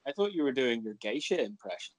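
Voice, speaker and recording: A man's voice: medium pitch, very animated, fast, very noisy. A man co-hosting a casual chat in a dry, wry manner.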